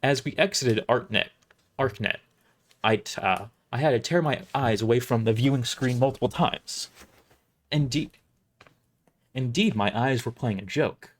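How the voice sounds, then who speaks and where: A man's voice: medium pitch, unhurried, pauses often. A man reading first-person science fiction narration live, now and then stumbling over the script and rereading words. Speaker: storyteller.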